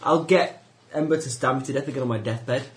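A man's voice: medium pitch, fairly quick. A man with a Yorkshire accent chatting jokily and animatedly at a tabletop game table. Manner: jokey and animated. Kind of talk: casual chat at a game table. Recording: roomy room mic with faint noise.

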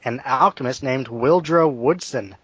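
A man's voice: medium pitch, unhurried, a bit bright, breathy edge, no echo, lo-fi sound. A man from Rhode Island playing a tabletop game, easygoing and wry. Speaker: player. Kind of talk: casual chat at a game table.

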